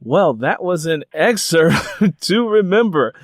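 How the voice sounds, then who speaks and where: A man's voice: fairly high pitch, animated, takes it slowly, no echo, clean studio sound. A man hosting an interview in a conversational way. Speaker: interviewer, host.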